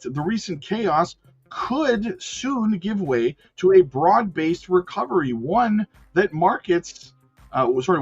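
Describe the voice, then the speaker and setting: A man's voice: high voice, husky, highs cut off. A man hosting a livestream, reading market news and chatting to viewers, losing his voice and peppering his talk with "right?" and "guys". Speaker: crypto livestream host. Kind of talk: livestream commentary mixing read news and chat.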